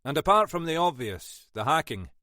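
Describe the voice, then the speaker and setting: A man's voice: a little high, sing-song, normal speed, sharp tone, dry recording, studio quality, pitched above the performer's own voice. A man voicing an older detective inspector with a wry, teasing way of talking.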